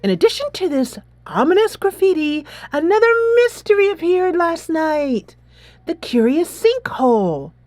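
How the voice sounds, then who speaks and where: A woman's voice: very high voice, sing-song, measured pace, a little mellow, no echo, pitched above the performer's own voice, full character voice. Woman putting on a grand, theatrical schoolgirl sleuth. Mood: grandly ominous. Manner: grand, declamatory, formal. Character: theatrical, pompous mystery-loving schoolgirl sleuth, grandly formal.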